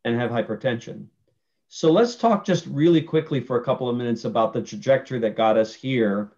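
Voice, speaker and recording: A man in his forties talks over a webcam during a virtual town hall, laying out his points with earnest care. He pauses on fillers and restarts his phrases often. His voice sits at an ordinary middle pitch, and the audio comes through a little muffled. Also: a little mellow. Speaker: panelist speaking for nursing homes. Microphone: video call webcam. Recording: muffled video call audio.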